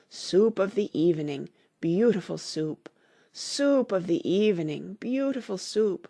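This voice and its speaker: Woman as a mournful turtle, singing very slowly. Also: mid-range voice, mellow, dry recording, clean studio sound. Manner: slow, drawn-out mournful singing. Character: Mock Turtle, melancholy, weepy, self-pitying old turtle. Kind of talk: audiobook character song.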